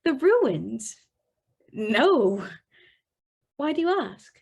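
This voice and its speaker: An actress playing a sheltered, wistful young gentlewoman, telling her story formally in a radio drama. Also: sits high, very animated, very slow, creaky, breathy edge, lo-fi sound, heavily acted. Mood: polite, guarded. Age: young adult. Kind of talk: radio drama narration and dialogue.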